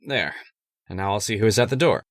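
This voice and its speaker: A man's voice: on the low side, animated, fast, faint music behind, natural voice. A man playing a persuasive, controlling scientist's assistant in a radio horror play, earnest and articulate. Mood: assured, soothing. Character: calm, stubborn, persuasive scientist's assistant devoted to the experiment. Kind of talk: old-time radio horror acting.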